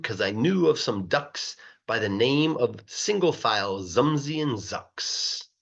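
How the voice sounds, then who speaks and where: A man reads a children's story aloud in an expressive, sing-song rhythm, stretching words for emphasis like a teacher reading to a class. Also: medium pitch, creaky, highs cut off. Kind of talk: animated rhyming storybook narration.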